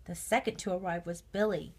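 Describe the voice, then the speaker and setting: A woman reading a children's storybook aloud with measured, expressive narration. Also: on the low side, slightly rough. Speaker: storybook reader.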